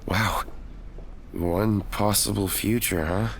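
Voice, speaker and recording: A man's voice: lowish voice, fairly even intonation, takes it slowly, slight background noise. A man playing an earnest young hero, narrating and acting his own story in a dramatic audio drama style. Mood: musing. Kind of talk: first-person audio drama narration and dialogue.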